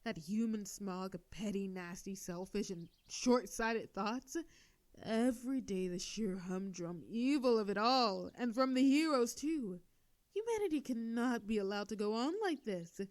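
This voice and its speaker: A woman playing a proud, sardonic female telepathic villain with a hidden soft side, in a theatrical scripted audio drama. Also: mid-range voice, expressive, unhurried, no echo, close to an everyday voice. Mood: zealous, bitter. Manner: theatrical, indignant then soothing.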